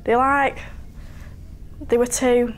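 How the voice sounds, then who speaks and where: A nineteen-year-old woman, chatting and laughing through a relaxed home interview. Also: on the high side, slow pace, slight background noise. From Leyland, Lancashire, England. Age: young adult. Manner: chatty, giggly, jokey. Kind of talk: casual interview chat. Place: living room at home, indoors.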